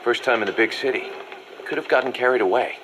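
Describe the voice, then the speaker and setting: An actor playing a shrewd, pragmatic mercenary second-in-command in a video game, talking in a businesslike, calculating way. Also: male voice, mid-range voice, quick pace, background noise, natural voice. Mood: composed. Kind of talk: dramatic video game dialogue.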